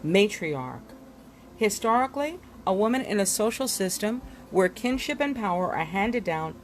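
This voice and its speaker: A woman reading glossary entries aloud from a book in an expressive style, giving headwords deliberate, dramatic emphasis. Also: medium pitch, slight background noise. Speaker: reader of a book.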